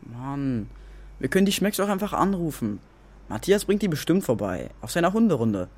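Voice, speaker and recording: A woman's voice: sits low, big pitch swings, normal speed, a little mellow. A female performer playing a sulky but reasonable older sister who grumbles and protests in a radio play. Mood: grumbling.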